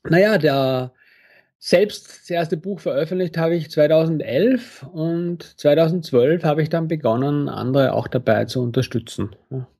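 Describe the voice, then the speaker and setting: Male guest, husky voice, thoughtful and measured. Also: a little high, darkish tone. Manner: thoughtful, restarts phrases. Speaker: author and book coach, guest. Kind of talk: interview answers.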